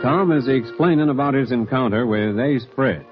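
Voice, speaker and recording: A man's voice: mid-range voice, normal speed. A man with an American accent giving grand, dramatic scripted narration as an old-time radio announcer. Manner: grand, declamatory. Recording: muffled old radio recording with music.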